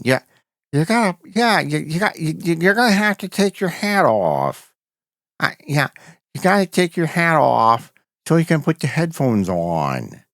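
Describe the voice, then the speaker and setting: A man's voice: a little high, a bit bright, dry recording, voice pushed up, full character voice. Guy putting on a flustered studio helper, trips over his words, voice jumping all over the place, dry close sound. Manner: stammering, repeating words, insistent. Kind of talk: comic off-air bit.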